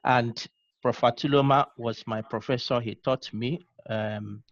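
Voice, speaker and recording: A Nigerian man teaching an online course, giving unhurried closing remarks full of ums and uhs. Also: mid-range voice, steady tone, muffled recording, a crowd in the background. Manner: unhurried, thinks aloud with many ums and uhs. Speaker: course instructor.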